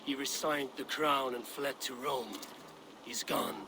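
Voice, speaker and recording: An actor playing a resolute, honorable Viking warrior leader in a video game, commanding, measured and blunt. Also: male voice, medium pitch, fairly even intonation, natural voice. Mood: earnest, grave. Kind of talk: dramatic video game acting. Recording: clear ambient background noise.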